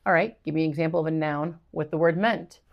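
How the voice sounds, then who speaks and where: Middle-aged woman tutor, low voice with some fry, walking parents through a reading routine, plays out student chats. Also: quick pace, lo-fi sound. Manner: encouraging, acts out student exchanges. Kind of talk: instructional talk to parents and teachers.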